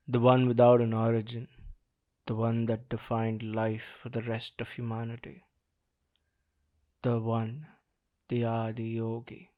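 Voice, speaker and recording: A man delivers spoken-word poetry through a thin, phone-like recording. His pace is very slow and his voice has a heavy creak. He speaks dramatically, setting short phrases apart with weighty pauses. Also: mid-range voice. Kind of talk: slam poetry recitation in the first person.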